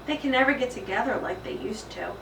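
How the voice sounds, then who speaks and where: A woman narrating a children's storybook aloud in an expressive, dramatic and emphatic style. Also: a little high, fast talker. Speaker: school principal reading aloud. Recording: echoey room, distant mic, faint noise.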